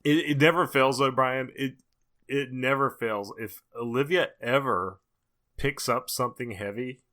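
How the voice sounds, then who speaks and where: A man's voice: medium pitch, steady tone, a little slow, pushed and bright. A man co-hosting a podcast in a casual, chatty style. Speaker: podcast co-host.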